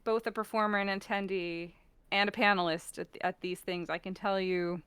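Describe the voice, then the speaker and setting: American woman, clear smooth voice, dry close recording, expressive casual chat, stream guest. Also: medium pitch, moderate pace, dry recording. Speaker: voice actor and performer, guest on a stream.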